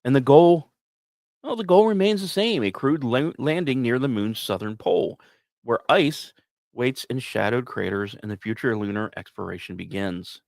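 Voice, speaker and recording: A man hosting a podcast, reading scripted narration in a measured, dramatic way with emphatic pauses and casual asides. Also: medium pitch, creaky, a little mellow, no room sound, clean studio sound. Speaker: podcast host.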